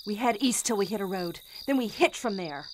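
An actress playing a determined, bossy bank teller on the run in a period suspense audio drama, urgent and taking charge. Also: female voice, mid-range voice, lively intonation, fairly quick, a little hiss or hum, close to an everyday voice. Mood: resolute.

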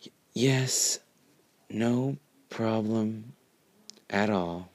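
A man's voice: fairly low pitch, extremely slow, darkish tone, no echo. A man voicing a prim, fussy female pony with a reproachful manner in a story reading. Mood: dry, restrained. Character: prim, exasperated female pony roommate, a candy maker.

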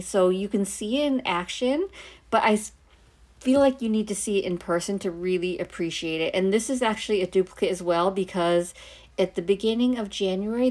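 A hoarse woman chatting easily through a voiceover for her nail unboxing and manicure video. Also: mid-range voice, darkish tone. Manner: chatty, easygoing, thinking aloud. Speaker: nail vlogger.

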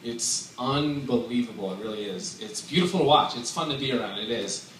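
A man's voice: medium pitch, animated, brisk. A man in his thirties giving a joking best man's toast on a handheld mic in a large wedding tent, chatty and laughing between lines. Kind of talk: casual humorous speech to a crowd. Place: large wedding tent with a dance floor, indoors. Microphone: handheld microphone through speakers, filmed from afar. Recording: echoey tent heard from far off, loud crowd and noise. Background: wedding guests laughing and cheering.

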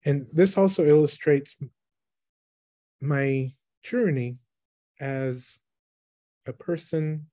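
Slow, mellow man presenting on a video call. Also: a little high, no room sound. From Philippines. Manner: slow, thoughtful, with pauses and restarts. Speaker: photographer giving a talk. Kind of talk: online presentation. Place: small room, indoors. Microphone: webcam on a video call.

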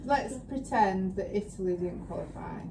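A woman's voice: mid-range voice, lively intonation, normal speed, creaky, crowd noise. A woman, talking casually and hesitantly in a family game.

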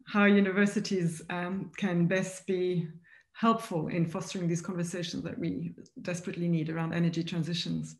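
A woman's voice: on the low side, level tone, moderate pace, roomy sound. A woman moderating an online panel, politely inviting speakers to answer. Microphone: video call.

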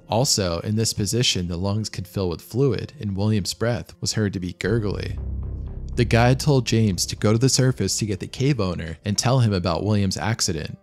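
A man reading scripted documentary narration of a true disaster story in a measured, matter-of-fact way. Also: fairly low pitch. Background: background music.

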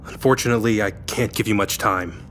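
An actor playing a measured, authoritative police chief in an audio drama, speaking formally and deliberately. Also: male voice, on the low side, fairly bright tone, a little hiss or hum, natural voice. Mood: grave, authoritative.

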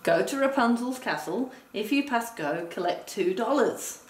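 A woman's voice: fairly high pitch, lively intonation, normal speed. A woman talking a partner through a board game like a teacher, explaining step by step and counting aloud. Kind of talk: instructional game play-through talk, addressing a partner. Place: indoor room, game on a table. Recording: roomy, distant mic with noise.

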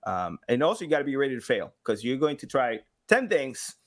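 A man in his thirties chatting casually as a podcast guest, telling anecdotes in an expressive, joking way. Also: medium pitch, brisk. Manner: joking, expressive, hands-on storyteller. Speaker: podcast guest, game sound designer.

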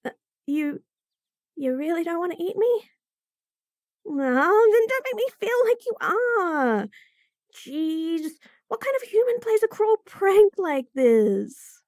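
A woman's voice: sing-song, slow pace, darkish tone, no room sound, studio quality, exaggerated voice. Woman voicing a stammering flustered bunny girl. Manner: stammering, squeaky, nervous giggles. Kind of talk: roleplay audio drama.

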